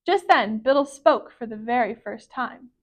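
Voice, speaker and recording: A woman with a high voice reads a picture book to children. She is a library storyteller, and her phrasing is careful and expressive. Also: normal speed.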